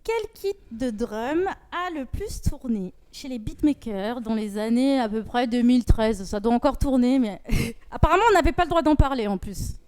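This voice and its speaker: Woman, high voice, quiz host, casual, slangy, bantering delivery. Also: animated, moderate pace, hardly any pauses, a little mellow. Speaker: host of a music quiz talk show.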